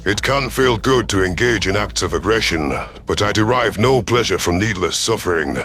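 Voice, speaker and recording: Man voicing a low, grave alien predator. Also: lively intonation, fairly bright tone, soft background music. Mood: solemn. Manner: measured, formal, solemn speech. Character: grave remorseful reptilian alien predator soldier.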